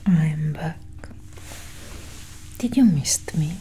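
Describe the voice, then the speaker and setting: A young woman whispering breathily and deliberately in a soft ASMR roleplay as a calm alien scientist, close to the mic. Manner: slow, deliberate, hushed. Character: calm, clinical female alien scientist examining a human. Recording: steady low engine-like hum.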